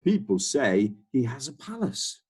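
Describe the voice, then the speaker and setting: A man's voice: mid-range voice, very animated, a little slow, a little mellow, breathy edge, pitched above the performer's own voice. A man voicing an earnest storybook boy. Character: young boy Billy, the narrator as a child, earnest.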